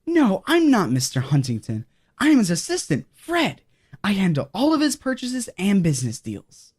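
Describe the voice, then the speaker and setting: A woman's voice: medium pitch, wildly swooping pitch, normal speed, darkish tone, breathy edge. A woman playing a scheming, upbeat assistant and kids' show host in a horror comedy audio drama. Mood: chipper, businesslike.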